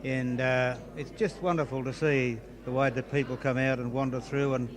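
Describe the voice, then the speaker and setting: A man with a medium-pitched voice talks casually as an interviewed event chieftain. A crowd is clearly heard around him outdoors. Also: steady tone, normal speed. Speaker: clan chieftain being interviewed. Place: outdoors at a Highland games. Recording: busy outdoor crowd noise behind.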